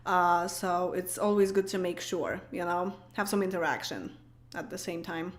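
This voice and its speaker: A woman's voice: on the low side, steady tone, normal speed. A woman in her late twenties with a Polish accent, chatting casually on a livestream and answering comments, with lots of fillers, restarts and laughs. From Poland. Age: late 20s. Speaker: streamer.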